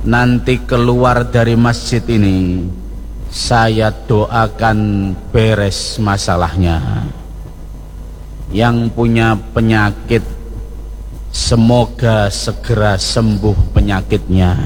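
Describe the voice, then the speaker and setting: A man with an East Javanese accent from Surabaya, preaching in a mosque with jokey, animated prayers for the congregation. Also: fairly low pitch, slight background noise. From East Java. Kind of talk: sermon with humorous prayers. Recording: reverberant mosque hall, faint ambience.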